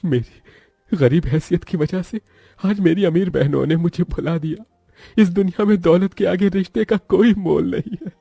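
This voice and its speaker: A man's voice: high-pitched, little pitch movement, a little slow, vocal fry, mellow, dry recording, faint music behind, pitched above the performer's own voice. A man voicing a humble, devoted poor elder brother in a cartoon moral story, pleading and sorrowful. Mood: emotional, sorrowful. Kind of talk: animated moral-story acting.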